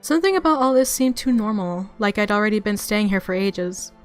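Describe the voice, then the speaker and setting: A woman reading visual novel narration aloud in an expressive, easily flustered way, as a let's play streamer. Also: mid-range voice, fairly quick, clean tone. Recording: music playing behind the voice. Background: game music.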